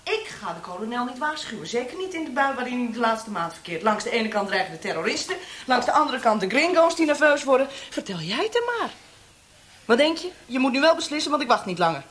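An actress in a radio drama playing a cold, mocking torturer for a military regime, speaking with deliberate menace. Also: female voice, fairly high pitch, sing-song, a bit bright, breathy edge, full character voice. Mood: irritated, hard.

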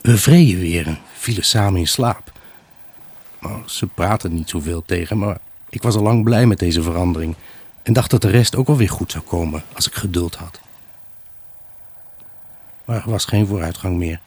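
Husky low-voiced brooding husband. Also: male voice, moderate pace, pauses often, a little mellow. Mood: reflective, weary. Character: troubled, brooding husband recounting his unhappy marriage. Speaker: actor narrating a radio drama. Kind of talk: dramatic first-person monologue in a Dutch radio play.

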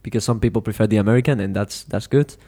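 A man's voice: lowish voice, fast, dark tone. A man hosting a podcast as an English teacher, interviewing a student in a loose, rambling way with many "you know" fillers. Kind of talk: casual interview chat.

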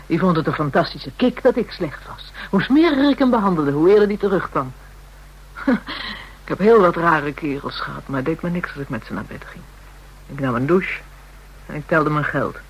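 An actress playing a brash, jaded, street-hardened prostitute in a Dutch radio play, coarse, scornful and frank. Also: female voice, low-pitched, lively intonation, moderate pace, husky, exaggerated voice, poor audio. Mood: bitter, frank. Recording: radio drama with background noise.

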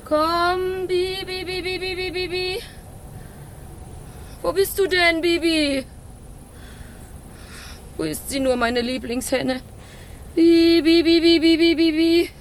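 Very high, slow, plaintive hen keeper. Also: female voice, steady tone, slight background noise. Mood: searching, worried. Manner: plaintive, scolding. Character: fussy, plaintive, easily outraged hen keeper. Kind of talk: children's radio play acting.

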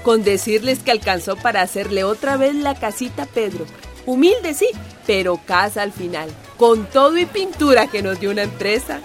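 An actress playing a warm, plucky community woman with a Costa Rican accent, telling her life story chattily in a radio drama. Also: mid-range voice, lively intonation, normal speed, faint music behind, natural voice. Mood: warm, proud. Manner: chatty, folksy, emphatic.

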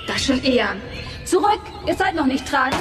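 A woman's voice: high-pitched, lively intonation, moderate pace. A woman playing a cool, ruthless party agent, polite and coldly mocking, in a sci-fi radio crime drama. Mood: coldly decisive. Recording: echoing cavern reverb, music behind.

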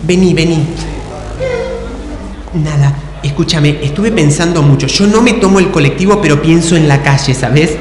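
A woman's voice: deep voice, normal speed, a little mellow, natural voice. A woman with a Rioplatense Argentine accent playing a bossy, scheming, chatty friend in an improvised stage comedy. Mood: conspiratorial. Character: bossy scheming female friend, an excitable amateur inventor. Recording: echoey hall, clearly noisy.

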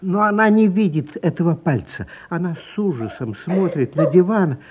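A man's voice: high-pitched, expressive, moderate pace, a crowd in the background. A man giving a dramatic, scripted literary narration. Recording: dull lo-fi radio, music behind. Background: music.

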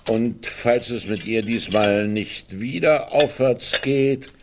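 An actor playing a pragmatic, pedantic older man in a German radio drama, insistent, with a husky voice. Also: medium pitch, slow, noisy background. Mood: insistent, businesslike. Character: pragmatic, pedantic older brother pressing business matters.

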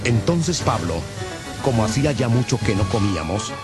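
A man narrating scripture as a scripted audio Bible, in a measured, solemn manner. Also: medium pitch, very animated. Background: music.

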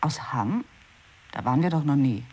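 A woman's voice: rumbling low, animated, normal speed, a little mellow, husky, full character voice. An actress playing a doubtful woman who keeps questioning her partner, in an experimental radio play.

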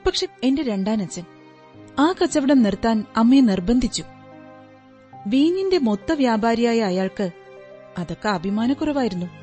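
A woman's voice: on the high side, lively intonation, normal speed, pauses often, a little mellow, close to an everyday voice. A woman playing an earnest, talkative, polite client who explains her troubles in detail in a radio drama. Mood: candid, explaining. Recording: radio drama with music behind. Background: music.

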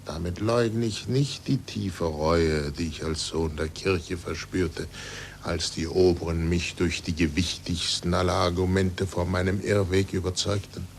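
Low-voiced, deliberate aged scientist. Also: male voice, fairly even intonation, creaky. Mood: dryly resigned. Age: older. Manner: deliberate, formal, lecturing. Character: aged recanted scientist under church supervision, bitter and resigned. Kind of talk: 1940s German radio drama acting. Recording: noisy 1940s radio recording.

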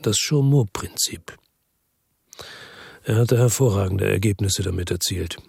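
Radio noir lead: a tough, tired detective, deep-leaning husky voice, terse storytelling. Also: male voice, measured pace, darkish tone, dry recording, studio quality, heavily acted. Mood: reflective. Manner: terse, matter-of-fact. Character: world-weary, tough male detective telling his story. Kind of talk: first-person radio drama narration.

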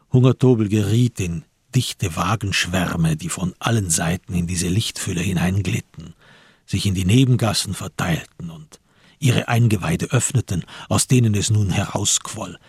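A man with a husky voice narrating a literary radio crime play in measured, deliberate phrases with dramatic pauses, in speech. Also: deep voice, no room sound, studio quality.

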